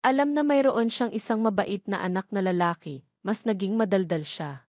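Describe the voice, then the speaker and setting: Female narrator, mid-pitched, very dry recording, for scripted romance storytelling. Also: moderate pace, a little mellow, no room sound.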